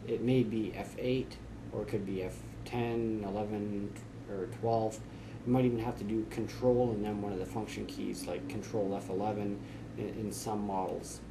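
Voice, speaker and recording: A man in his forties explaining computer steps to camera in a relaxed, chatty way with frequent fillers, filmed in a small office. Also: lowish voice, normal speed, creaky, background noise. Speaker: tech tips host. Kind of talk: casual how-to explanation to camera.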